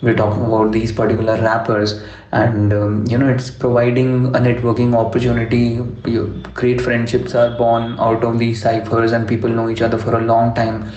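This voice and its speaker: A young man from Delhi, a rapper, answering interview questions in a measured way, with many fillers like "you know" and "uh". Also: mid-range voice, monotone, a little mellow. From Delhi, India. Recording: echoey room, dull lo-fi sound.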